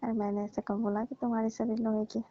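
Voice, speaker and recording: A woman's voice: on the high side, little pitch movement, fast talker, mellow, dry recording, lightly acted. A woman playing a coaxing, teasing middle-aged auntie who persuades and placates in a staged comic phone call. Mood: defensive, teasing. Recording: dull, dry lo-fi phone recording.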